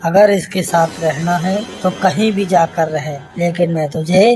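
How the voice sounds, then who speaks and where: A woman's voice: on the low side, steady tone, a little slow, warm tone, slight background noise, close to an everyday voice. A woman voicing a stern, haughty elder-brother landlord in a cartoon story, scolding. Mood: angry, scornful.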